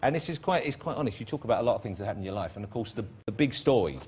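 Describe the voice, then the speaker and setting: A middle-aged man with a London accent, hosting a TV chat show and teasing his guest in quick, playful banter. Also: lowish voice, animated, a little mellow, a little hiss or hum. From East London. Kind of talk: joking chat show interview. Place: TV studio with audience.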